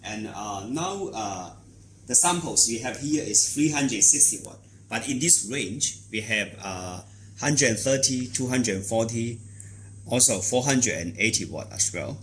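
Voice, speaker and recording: A man giving an unscripted product demonstration, explaining in a halting, repetitive way with non-native phrasing. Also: mid-range voice, expressive, fairly bright tone, a little hiss or hum, rough recording.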